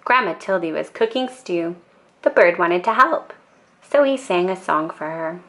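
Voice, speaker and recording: A woman storyteller narrating a children's bedtime book in a calm, expressive and deliberate way. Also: fairly low pitch.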